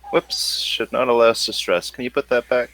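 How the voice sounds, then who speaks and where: A man narrating a tabletop role-playing game as game master, improvising in a halting, conversational way. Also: medium pitch, steady tone, a bit bright.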